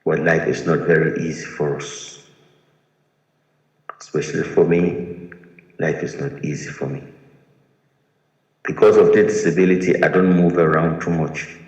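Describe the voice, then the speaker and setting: A man's voice: rumbling low, fairly even intonation, a little slow, long pauses, a little mellow. A man from Liberia, a retired soldier telling his own story in an earnest, pleading way. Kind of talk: personal testimony to camera. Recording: echoey room with long reverb.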